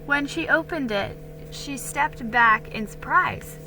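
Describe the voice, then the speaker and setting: A woman giving an amateur dramatic storybook reading, deliberate and a little halting, with stumbles. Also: high voice, pushed and bright, soft background music, low-quality recording.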